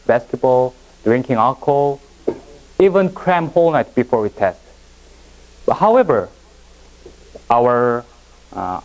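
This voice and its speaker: Halting Korean-accented man presenting. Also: mid-range voice, a little mellow, poor audio. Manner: halting, with frequent restarts and second-language phrasing. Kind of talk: classroom presentation.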